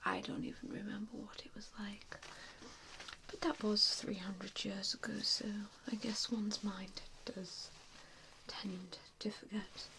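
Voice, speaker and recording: A woman performing a softly spoken ASMR roleplay as a gentle, reassuring vampire mentor, with a soothing, hesitant manner. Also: mid-range voice, little pitch movement, measured pace, low-quality recording.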